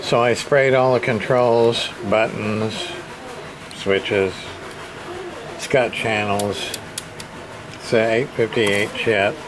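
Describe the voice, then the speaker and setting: Slow-talking man, halting and noisy recording. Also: lowish voice, steady tone. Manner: hesitant, halting, muttering to himself. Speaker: hobbyist radio repair presenter. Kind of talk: casual hands-on demonstration, thinking aloud. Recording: clear background room noise.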